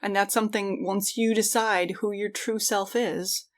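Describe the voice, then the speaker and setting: A woman's voice: medium pitch, steady tone, a little slow. A woman co-hosting a podcast, chatting in a casual, informal, conversational way. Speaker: podcast co-host.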